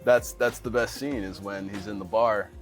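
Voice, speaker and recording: A man's voice: lowish voice, steady tone, moderate pace. A man interviewing a fellow bartender in a casual, chatty style inside a bar. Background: music.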